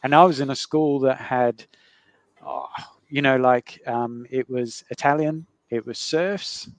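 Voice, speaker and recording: A man's voice: medium pitch, fairly even intonation, unhurried, no room sound. Middle-aged aussie bloke telling his story, rambly and loose, lots of ums, dry close sound. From Netherlands. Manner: rambling, many fillers and restarts, laughs between remarks. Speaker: guest sharing his health story. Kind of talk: casual conversational storytelling.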